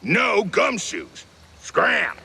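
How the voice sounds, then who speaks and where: An actor playing a curt, stubborn old caretaker with a gravelly voice in a cartoon game. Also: male voice, sits high, wildly swooping pitch, drawn-out words, bright, forceful tone, heavily acted. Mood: grumpy, dismissive. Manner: curt, dismissive.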